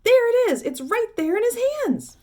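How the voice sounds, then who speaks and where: A woman voicing a fat, jolly middle-aged male shopkeeper who exclaims loudly and gets carried away. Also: medium pitch, fairly quick, close to an everyday voice. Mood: overexcited. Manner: excitable, loud and exclaiming.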